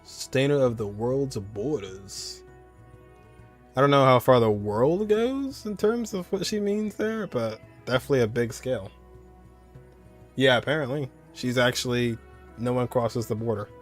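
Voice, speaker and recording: Casting: male streamer, medium pitch, casual and offhand reaction commentary, faint game music underneath. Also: unhurried.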